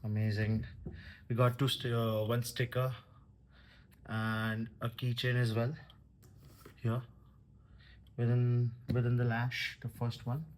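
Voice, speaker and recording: A man giving casual, halting commentary as a vlogger unboxing a product. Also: medium pitch, monotone, a little hiss or hum, poor audio.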